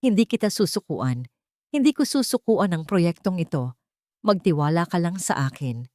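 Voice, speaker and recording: A woman voicing a steadfast, gentle man in a love story, earnest and reassuring. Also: lowish voice, sing-song, moderate pace, dry recording, clean studio sound. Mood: earnest reassurance. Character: steadfast, gentle male suitor consoling a woman.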